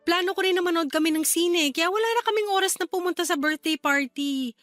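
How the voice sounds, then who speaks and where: A devoted young aunt in a radio drama. Her voice is high, affectionate and expressive, with a playful teasing streak. Soft music sits faintly underneath. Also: a little fast, dry recording. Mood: polite refusal. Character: caring, playful young aunt raising her small niece. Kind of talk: Tagalog radio drama acting.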